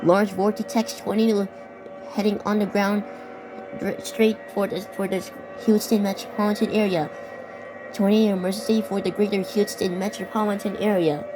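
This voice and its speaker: Young female amateur narrator, stumbling improvised bulletin delivery, mid-pitched, heavy music bed. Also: little pitch movement, rough recording. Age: teenager or young adult. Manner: halting, stumbling over and repeating words. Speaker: amateur video creator narrating a fictional emergency alert scenario. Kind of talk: improvised narration mixed with read-out weather warnings. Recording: loud music behind the voice. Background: loud music.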